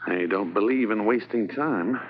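An actor playing a calm, confident undercover policeman in a 1950s radio drama. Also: on the low side, moderate pace, natural voice. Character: undercover Canadian policeman posing as smuggler. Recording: dull old radio transcription, faint hiss.

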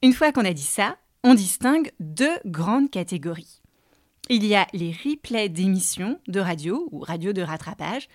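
A woman's voice: mid-range voice, very animated, moderate pace, dry recording, studio quality. A woman hosting a podcast lesson, explaining in a playful, teasing way and addressing listeners directly. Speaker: podcast host and coach.

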